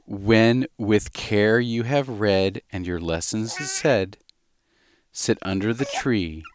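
A man reading as a kindly, contented little bird, in a lilting, measured storybook rhyme. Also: fairly low pitch. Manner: lilting, measured rhyming recital.

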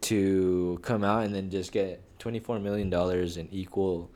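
A man's voice: sits low, steady tone, moderate pace, darkish tone. A young man co-hosting a podcast, chatting casually and rambling with lots of slang like "bro" and "dog". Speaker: podcast co-host. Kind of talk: casual chat.

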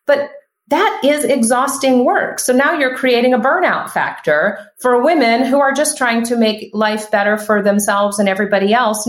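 A woman in her thirties, a podcast guest talking casually and emphatically, with frequent restarts. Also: fairly high pitch. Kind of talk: casual conversational interview. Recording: echoey room.

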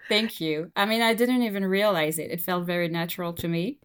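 A young woman whose first language is French, talking relaxed and casually as an interview guest, with many fillers. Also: fairly low pitch, fairly quick, clean tone. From Belgium. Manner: relaxed, frequent fillers and "you know". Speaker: guest translator.

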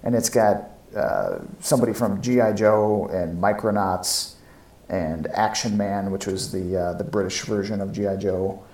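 Man, fairly low voice, casual podcast co-host, informal fan chat, echoey room sound. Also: expressive, normal speed, darkish tone. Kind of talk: casual chat about comics and toys. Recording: echoey, roomy podcast audio.

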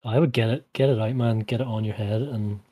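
A man's voice: mid-range voice, steady tone, fairly quick, dark tone. A man chatting casually on a podcast, often stumbling and repeating words. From Northern Ireland. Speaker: podcast co-host. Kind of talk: casual chat.

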